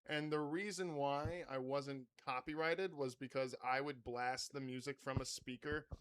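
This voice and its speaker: Loud, smooth-voiced male podcast host. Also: mid-range voice, fairly even intonation, a little slow. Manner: rambling, swears casually, lots of fillers. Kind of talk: casual chat.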